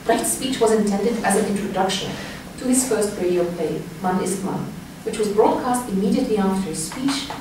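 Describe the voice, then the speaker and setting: Very echoey room, distant microphone, woman, formal academic lecture, read from a script, measured phrasing. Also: medium pitch, expressive. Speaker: academic speaker presenting a paper. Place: reverberant indoor room. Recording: echoey room, distant mic, noisy background.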